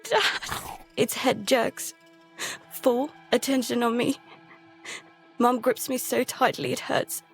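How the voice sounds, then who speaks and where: Casting: scared girl narrator for horror audio drama, higher voice, halting, fearful, gravelly fry at the edges. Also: steady tone, faint music behind. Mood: panicked. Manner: halting, fragmented, hushed dread breaking into pleading. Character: terrified girl narrating survival of an infected outbreak.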